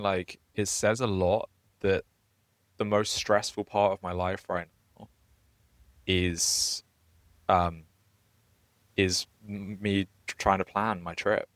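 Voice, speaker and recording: A young man with a low-pitched voice chats casually as a podcast co-host. The recording is dry and close, with very little room sound. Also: steady tone, slow, pauses often, a bit bright, dry recording. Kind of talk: casual chat.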